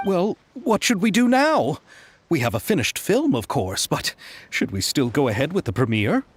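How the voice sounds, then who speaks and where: An anxious, flustered fellow in a video game. His high voice leaps around wildly as he hesitates and exclaims, with faint noise behind him. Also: huge pitch swings, moderate pace. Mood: flustered, unsure. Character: flustered, anxious man, dismayed and unsure. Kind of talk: video game cutscene acting.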